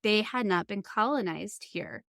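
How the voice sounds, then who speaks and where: A woman interviewer with a medium-pitched voice speaks in a dry, echo-free recording. She is conversational and earnest, working out her thoughts as she goes. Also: unhurried, creaky, fairly bright tone. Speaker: podcast interviewer and host.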